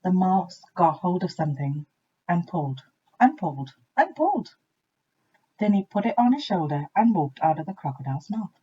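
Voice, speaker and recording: Woman, children's story narration, very lively intonation, husky tone, lowish pitch, slightly muffled recording. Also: normal speed. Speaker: teacher reading a storybook aloud.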